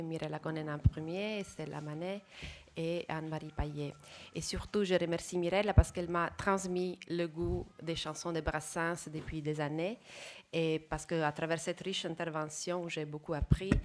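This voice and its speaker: A woman from Italy, giving a careful, measured talk at an academic conference. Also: sits low, steady tone. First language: Italian. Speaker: conference speaker.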